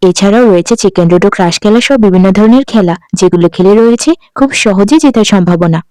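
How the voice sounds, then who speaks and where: A woman delivers a scripted promotion at a fast pace. Her voice is mellow and clear, at a middling pitch. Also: dry recording, poor audio. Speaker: advertiser.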